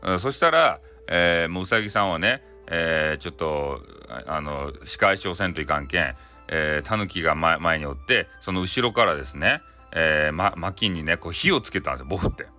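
Lively man storyteller with Hakata accent. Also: low-pitched, fairly quick, few pauses, soft background music. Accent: Hakata Japanese. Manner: chatty, playful, with spoken sound effects. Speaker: storyteller, audio channel host. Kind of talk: casual improvised folktale telling in dialect.

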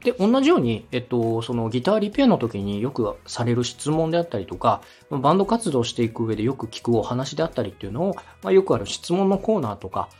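A man hosts a podcast as an instructor, with a slightly husky voice and animated intonation. He explains things politely and step by step, over faint background music. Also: medium pitch, fast, hardly any pauses. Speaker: podcast host and radio director. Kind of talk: explanatory coaching talk on a podcast.

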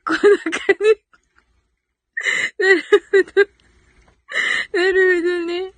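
A woman's voice: very high voice, fairly even intonation, takes it slowly, fairly bright tone, dry recording. A woman hosting a casual live audio stream, giggly and thinking aloud as she reacts to listener comments.

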